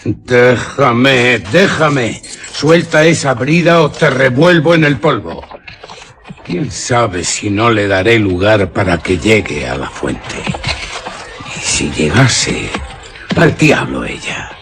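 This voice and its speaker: A man's voice: mid-range voice, animated, takes it slowly, a bit bright, slight background noise. An actor playing a proud, reckless young nobleman hunter in a suspense radio drama, declaiming with passion in Castilian Spanish. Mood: defiant, impassioned. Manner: imperious, impassioned, declamatory.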